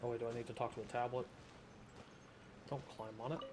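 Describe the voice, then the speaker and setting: A man's voice: medium pitch, flat delivery, fairly quick, noisy background. A man giving casual gameplay commentary, rambling to himself in a wry, informal way. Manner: rambling, self-talking, wry. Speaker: gamer, streamer. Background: game music.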